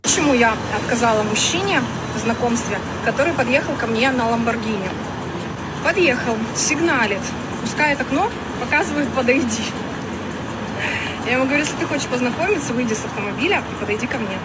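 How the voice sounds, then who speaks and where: A woman outdoors telling an indignant, animated story and acting out the dialogue. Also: on the high side, brisk. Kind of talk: storytelling vlog. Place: outdoors on a street. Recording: loud street traffic behind.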